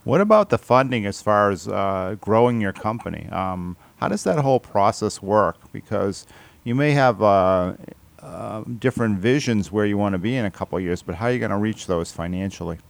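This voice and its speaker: A man's voice: fairly low pitch, animated, moderate pace, creaky, no room sound. A man hosting a radio interview, asking questions in a conversational way. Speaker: radio show host, interviewer.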